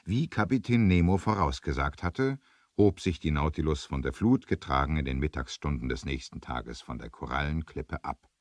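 Deep, animated male storyteller. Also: moderate pace, no room sound. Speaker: narrator. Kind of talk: dramatic scripted storytelling for 1970s adventure radio play.

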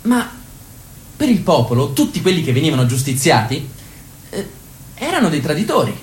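A woman's voice: sits low, big pitch swings, moderate pace, a little hiss or hum. A woman acting as a solemn chronicler of a tyrant's rule in radio theatre, with emphatic, declamatory delivery. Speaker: narrator. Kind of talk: dramatic narration in radio theatre. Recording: echoey room, loud music bed. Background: loud music.

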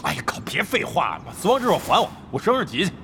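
A man's voice: high voice, sing-song, quick pace, bright, forceful tone, a little hiss or hum, exaggerated voice. An actor playing a brash, crafty middle-aged veteran gamer in a Chinese audio drama, cocky and slangy, grumbling and swearing as he banters. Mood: exasperated.

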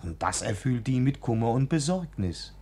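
A man playing an excitable, droll teller of tall tales in a 1940s German radio drama, exaggerating with relish. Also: medium pitch, normal speed, slightly rough. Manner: exaggerated, relishing word lists. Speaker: narrator in a radio play. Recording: 1940s studio radio recording with music.

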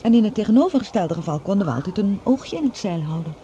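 A woman's voice: mid-range voice, animated, fast, dark tone, highs cut off, a little hiss or hum, lightly acted. A woman playing a cool, self-possessed character in a radio play, guarded but civil. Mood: guarded, firm. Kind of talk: Dutch radio play acting.

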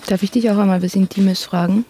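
An actress playing a weary, candid former group member in a naturalistic radio drama. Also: female voice, mid-range voice, steady tone, fast, mellow, natural voice. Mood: subdued, candid.

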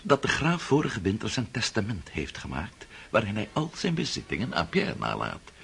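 An actor playing a scheming, impatient older prince in a radio drama, coaxing and condescending with a rough, hoarse voice. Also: male voice, on the low side, huge pitch swings, normal speed, fairly bright tone, heavily acted. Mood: impatient, insistent.

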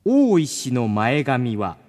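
A man co-hosting a radio show, reading out listener mail with polite phrasing and deliberate emphasis amid casual banter. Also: medium pitch, huge pitch swings, a little mellow.

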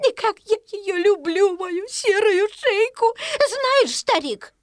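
A fretful old mother duck from a storybook, high-pitched and slow-paced. Her delivery swings expressively, full of reproach and devotion to her family. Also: lively intonation, no echo, clean studio sound, heavily acted. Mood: anguished, tender. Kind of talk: audio storybook character acting.

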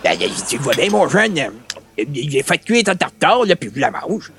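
A man playing a cheerful, folksy, cheeky old grandpa with a Québécois accent and a creaky old voice, in a radio comedy serial. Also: fairly high pitch, very animated, measured pace, a bit bright, full character voice. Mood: cheerful, oblivious. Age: older. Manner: folksy, drawling exclamations and repeated tag words. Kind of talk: comedy radio serial acting in 1940s manner.

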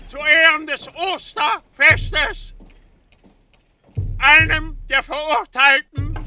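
An actor playing a stern Roman governor who proclaims a death verdict to a crowd in a radio drama, in a shouted, slow and measured delivery. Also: male voice, extremely high pitch, exaggerated voice. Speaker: Roman procurator.

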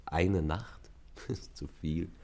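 A young traveler in an old radio drama, low in pitch. He is intimate and deliberate, a teasing lover who stays wistful and evasive. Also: gravelly, mellow, slightly rough, exaggerated voice. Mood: wry, wistful. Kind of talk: classic German radio play acting.

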